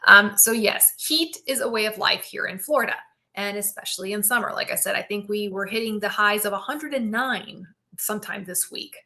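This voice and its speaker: A middle-aged woman hosting a live physical therapy Q&A, with a bright, forward voice. She teaches in an upbeat, chatty way, often checking in with her viewers. She projects loudly into her device's own mic. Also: medium pitch, normal speed, vocal fry. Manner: enthusiastic, frequent "right?" check-ins. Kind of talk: live-stream Q&A, casual teaching. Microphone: built-in device mic.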